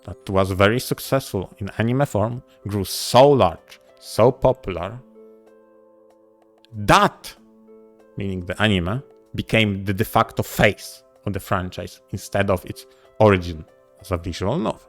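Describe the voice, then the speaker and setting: A man with a fairly low voice talks casually as a podcast co-host. His phrasing is deliberate and broken into short, halting pieces. Soft music plays faintly behind him. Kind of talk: casual discussion of visual novels.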